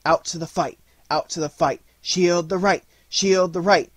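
A man's voice: high voice, steady tone, a little slow, no echo, pitched above the performer's own voice. A man voicing small spirited storybook toys in a rousing, rhythmic battle chant. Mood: urgent, rousing.

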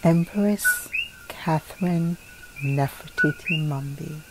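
Woman, low voice, solemn measured read of a declaration; noisy home recording. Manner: solemn, measured reading with occasional slips and restarts. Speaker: narrator reading a declaration. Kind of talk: earnest read-aloud declaration.

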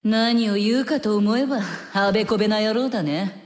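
An actress playing a brash, cynical woman with blunt, mocking, rough speech in an anime game cutscene. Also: medium pitch, moderate pace, natural voice. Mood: scornful. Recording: long reverb, music behind.

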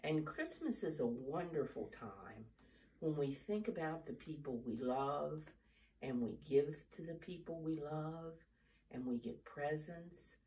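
An elderly American woman with a low voice leads storytime for small children. She speaks very slowly and simply, like a teacher, with lively rises and falls. The mic sits some distance away in a room at home. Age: 70s or older. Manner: simple, deliberate teacher-like phrasing with questions to children. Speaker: storyteller reading to preschoolers. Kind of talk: storytime talk and picture-book reading for young children. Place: living room at home, indoors. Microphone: camera a few steps away.

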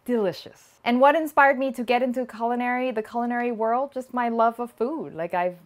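A woman in her thirties from Thailand, hosting a cooking channel and answering viewer questions in a relaxed chat from her home kitchen. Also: a little high, fairly quick. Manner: lively, talks with her hands, laughs often. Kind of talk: casual Q&A vlog. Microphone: small lapel mic.

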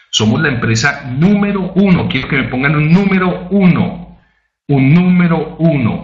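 A man's voice: a little high, slight background noise. Upbeat man presenting over a dull web call. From Colombia. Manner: upbeat, rallying, engages the chat. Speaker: corporate department head. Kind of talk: webinar presentation. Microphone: computer mic on a web conference. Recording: roomy, dull web conference audio.

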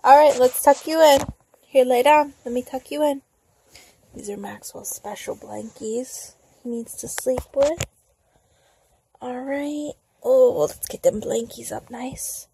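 A woman's voice: high-pitched, a little slow, creaky, warm tone, slight background noise, rough recording. A woman chatting casually with a toddler, prompting with simple questions and coaxing as a parent does. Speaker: parent filming a child. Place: small home bedroom, indoors.

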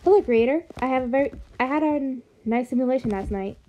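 A woman voicing a naive, gentle young robot, earnest and hesitant, in an amateur comic dub. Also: high voice, quick pace, muffled recording, a little hiss or hum, natural voice. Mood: dreamy.

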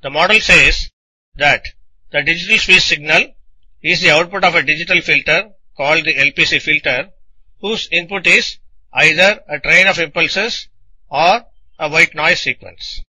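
A man narrating a scripted technical lecture in a deliberate, didactic manner, explaining things in short phrases. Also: a little high, pushed and bright, slightly rough, poor audio.

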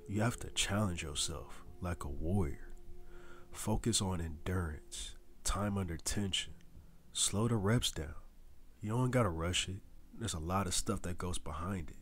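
A man's voice: deep voice, slight background noise. A young man giving a casual, slangy motivational voice-over about training, talking straight to his viewers like a coach. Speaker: fitness vlogger.

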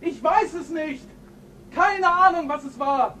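An actor playing a frightened male astronaut who shouts in panic about something outside. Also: extremely high pitch, expressive, takes it slowly, a little hiss or hum, exaggerated voice. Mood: panicked.

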